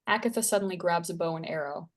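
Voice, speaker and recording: A woman's voice: on the low side, quick pace. A woman reading stage directions aloud as scripted narration at a screenplay table read.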